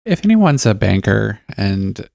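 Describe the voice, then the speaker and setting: A man's voice: lowish voice, big pitch swings, measured pace, creaky, darkish tone, dry recording, studio quality. A middle-aged man co-hosting a podcast in casual chat, playful and self-mocking, laughing often. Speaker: podcast co-host.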